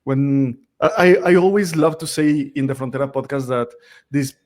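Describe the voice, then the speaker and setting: A man's voice: medium pitch, normal speed, darkish tone. Man hosting a podcast interview. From Latin America. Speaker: podcast host. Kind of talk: interview question.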